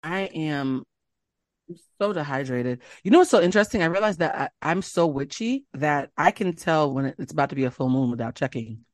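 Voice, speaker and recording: A woman's voice: low voice, brisk, dry recording. A woman hosting a podcast in casual chat, telling stories and acting out the people in them. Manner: animated storyteller, acts out quotes. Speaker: podcast host.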